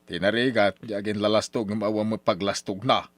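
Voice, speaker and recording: Low-voiced, grumbling Ilocano father played by a man. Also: fairly even intonation, fairly quick, dry recording, close to an everyday voice. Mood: insistent, scheming. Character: scheming, money-minded father, a poor farmer, nagging and bossy. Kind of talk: old radio drama acting.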